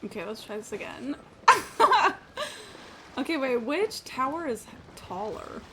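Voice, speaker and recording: A woman's voice: sits high, sing-song, slow, sharp tone, a little hiss or hum, poor audio. A woman livestreamer giving casual gameplay commentary, thinking aloud informally and swearing freely.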